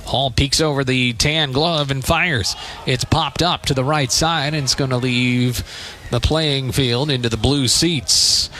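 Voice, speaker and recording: Bright, forceful man calling baseball on radio. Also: medium pitch, fairly even intonation, measured pace, a little hiss or hum. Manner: clipped descriptive calls, punchy short phrases. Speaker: radio play-by-play announcer. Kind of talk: live baseball play-by-play commentary. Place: open-air stadium press perch.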